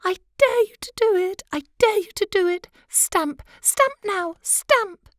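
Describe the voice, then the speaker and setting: Storybook butterfly wife, tiny, nagging and emphatic, pitched very high with big swoops, played by a woman. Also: animated, a little slow, no room sound, clean studio sound. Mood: shrill daring. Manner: fluttery, emphatic. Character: small, excitable, nagging female butterfly wife.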